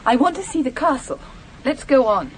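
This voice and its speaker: A sensitive, strong-willed young woman in an old radio play. Her voice is high and highly expressive, and she is easily upset and sharp when fussed over. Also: slight background noise, low-quality recording. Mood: insistent. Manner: emotional, quick to upset and snappish. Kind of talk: 1970s radio drama acting.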